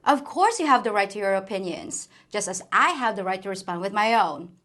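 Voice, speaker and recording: A woman's voice: mid-range voice, animated, fairly quick, fairly bright tone. A woman from the Philippines hosting a scripted commentary video, speaking with wry, playful sarcasm. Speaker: commentary channel host.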